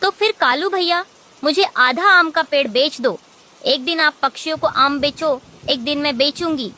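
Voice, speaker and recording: A woman's voice: high voice, moderate pace, sharp tone. A woman voicing a kind, clever female sparrow with a friendly, assured manner in a children's cartoon story. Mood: bargaining. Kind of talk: animated children's moral story dialogue.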